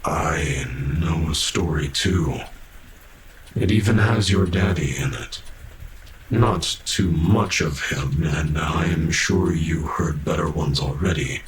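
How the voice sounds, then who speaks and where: A man playing a kindly, centuries-old half-machine guardian in a fan audio drama, speaking in a halting, careful, softly formal way. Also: rumbling low, a little hiss or hum, poor audio. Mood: storytelling.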